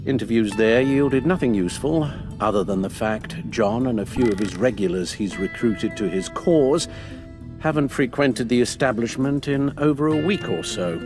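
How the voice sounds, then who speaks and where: An actor playing an elderly British butler and mentor in a video game, caring and worried, with formal, measured, genteel phrasing. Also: male voice, medium pitch, lightly acted. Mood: matter-of-fact. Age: older. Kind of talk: dramatic video game voice acting. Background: background music.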